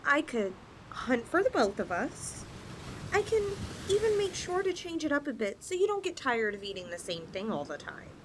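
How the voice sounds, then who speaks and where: A woman's voice: sits high, sing-song, normal speed, a little hiss or hum. A woman voicing a possessive, flirtatious lamia in a roleplay ASMR style, coaxing her chosen mate with sweet condescension. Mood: coaxing, confident.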